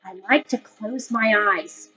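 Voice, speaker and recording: A woman's voice: mid-range voice, slow pace, sharp tone, soft background music. A woman voicing a courtly, genial blind man in a storybook, folksy and courteous. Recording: dull lo-fi online recording.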